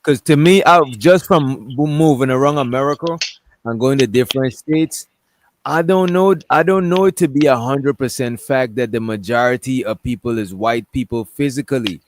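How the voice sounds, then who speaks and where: A man's voice: mid-range voice, normal speed, slight background noise. A man hosting a casual discussion stream, talking loosely and rambling while he pulls up things on screen. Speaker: stream host.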